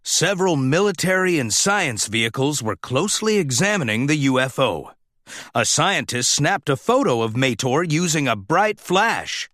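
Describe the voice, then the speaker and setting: A man's voice: fairly high pitch, big pitch swings, moderate pace, a bit bright, no echo, clean studio sound. A man reading a children's storybook aloud as scripted narration. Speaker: storybook reader.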